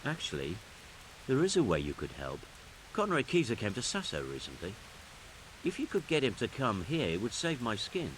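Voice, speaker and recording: An actor playing an anxious, deferential medieval master engineer in a game, speaking hesitantly. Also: male voice, mid-range voice, huge pitch swings, normal speed, poor audio. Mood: worried, exasperated. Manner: hesitant, stammering. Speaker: mining engineer. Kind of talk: dramatic game cutscene acting. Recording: faint hiss and background noise.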